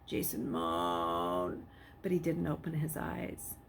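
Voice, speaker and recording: A woman giving an expressive audiobook narration, reading a suspenseful story aloud as its narrator. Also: rumbling low, slow pace, creaky, a little hiss or hum.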